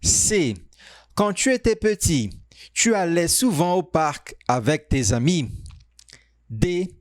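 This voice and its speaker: A man teaching French, reading quiz sentences and answer options aloud slowly and carefully. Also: on the high side, animated, no room sound. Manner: reads sentences slowly, stretched out, pauses on options. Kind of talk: slow, clearly spoken quiz reading.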